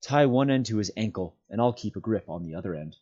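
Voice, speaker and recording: A man voicing a stern, commanding hobbit master in a storybook, speaking in a firm, measured, formal way with some pity. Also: lowish voice, expressive, warm tone, highs cut off. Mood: practical. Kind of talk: storybook character voice.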